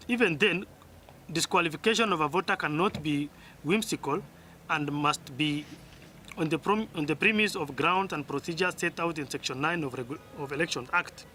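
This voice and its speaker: Kenyan man, official reading a ruling formally and haltingly; fairly high, sharp voice with faint background noise. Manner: halting, stumbles and repeats words. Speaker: electoral commissioner reading a ruling.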